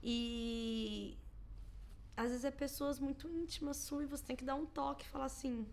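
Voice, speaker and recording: A Brazilian woman in her thirties chats casually as a podcast co-host. Her voice sits a little high, and her storytelling is animated, with quoted lines acted out. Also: normal speed, gravelly, slight background noise. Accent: Brazilian Portuguese. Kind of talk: casual chat.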